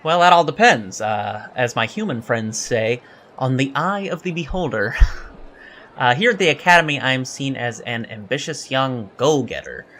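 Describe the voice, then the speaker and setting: A man's voice: mid-range voice, lively intonation, normal speed, fairly bright tone, natural voice. A man playing an eager, emotional young alien cadet in an audio drama interview, hesitant, polite and self-deprecating. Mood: animated, candid.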